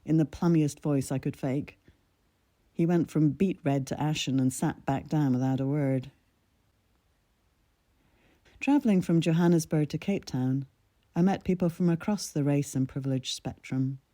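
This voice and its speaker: Very dark-voiced woman in her sixties. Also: sits low, no room sound, clean studio sound. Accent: mid-Atlantic with a Scottish lilt. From Scotland. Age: 60s. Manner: measured, reflective storytelling. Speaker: narrator of her own essay. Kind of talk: scripted personal essay reading.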